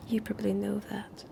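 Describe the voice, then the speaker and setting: A woman voicing a centuries-old vampire woman with a French accent, speaking in a husky, whispery, sick voice in a slow, teasing, languid way for an audio roleplay. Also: mid-range voice, noisy background.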